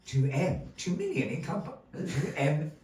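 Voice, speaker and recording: Male stage host, playful showman patter, animated pitch, roomy distant sound. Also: unhurried. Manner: showy, grandly polite, playful. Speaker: puppet show host. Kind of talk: theatrical stage patter with a puppet fortune-teller. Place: gallery room before a live audience. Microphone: distant room mic. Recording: echoey room heard from afar.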